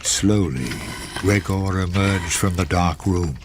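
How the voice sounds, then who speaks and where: A man's voice: deep voice, slow. A man reading scripted narration for a radio drama. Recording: very noisy recording.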